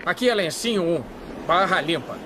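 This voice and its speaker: A man's voice: high-pitched, expressive, normal speed, background noise. A man voicing an eager young male leader of a mystery gang, bossy and gung-ho, in a dubbed cartoon. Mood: commanding. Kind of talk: cartoon dub acting. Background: loud music score.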